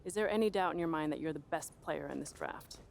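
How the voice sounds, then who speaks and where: Woman, brisk delivery, direct sports reporter in a game, slight background noise. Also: low-pitched, gravelly. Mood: probing. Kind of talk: press questioning in game cutscene.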